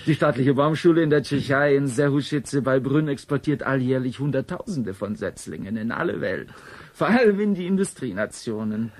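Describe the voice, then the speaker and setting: A man's voice: mid-range voice, lively intonation, normal speed. A man playing a courteous, knowledgeable forestry expert in a German radio drama, explaining things precisely. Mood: calmly informative.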